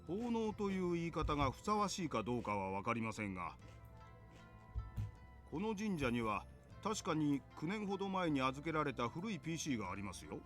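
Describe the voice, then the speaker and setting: A middle-aged father from a visual novel, gentle and courteous to everyone. His voice sits a bit high for a man and moves in lively, smiling curves. Soft game music plays underneath. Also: racing. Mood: genial, polite. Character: gentle, polite middle-aged father who keeps a shrine. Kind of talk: Japanese visual novel voice acting. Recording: game audio with background music.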